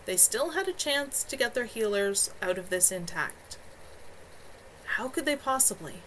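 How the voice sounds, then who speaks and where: A woman's voice: a little high, lively intonation, unhurried, fairly bright tone, slight background noise, rough recording. A woman author reading her own novel aloud live from home, earnest, with a few small stumbles.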